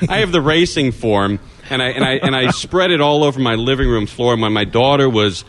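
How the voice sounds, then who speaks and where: A man's voice: medium pitch, moderate pace, fairly bright tone, a little hiss or hum, low-quality recording. Middle-aged man, rambling radio host. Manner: hesitant, rambling, self-deprecating. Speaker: radio talk show host. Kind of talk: casual joking radio chat.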